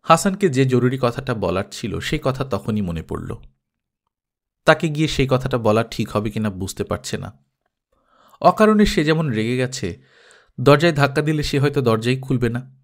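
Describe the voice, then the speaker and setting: A man's voice: mid-range voice, expressive, moderate pace, long pauses. A man reading fiction aloud as a story narrator in scripted audiobook narration.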